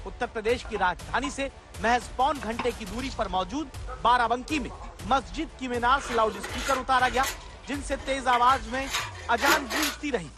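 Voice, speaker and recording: Woman narrating news over loud music. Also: a little high, normal speed. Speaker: news presenter. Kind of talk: dramatic scripted news narration. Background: loud music bed.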